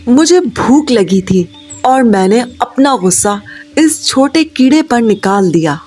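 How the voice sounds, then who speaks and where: Woman voicing a greedy, cowardly male crow, unhurried. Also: on the high side, animated, darkish tone, husky, faint music behind, exaggerated voice. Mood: apologetic.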